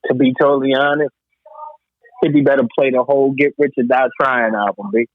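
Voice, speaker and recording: A man's voice: medium pitch, steady tone, brisk, dry recording. A man talking casually as a podcast host in a hip-hop debate, emphatic and repeating phrases to drive points home. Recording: narrow tinny phone-like line.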